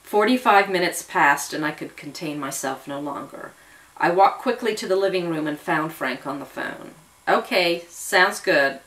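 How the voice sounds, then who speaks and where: A woman storyteller narrates in the first person. Her voice sits a little low, and she reads the tale as drama. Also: normal speed, a bit bright, poor audio. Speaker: storyteller reading own serial story.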